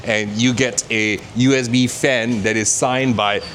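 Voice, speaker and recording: A man's voice: mid-range voice, fairly even intonation, measured pace, fairly bright tone. A Singaporean man hosting a travel vlog, chatting upbeat to the camera at an outdoor night market. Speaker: travel vlogger host. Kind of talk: travel vlog narration and chat. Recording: noisy outdoor street recording.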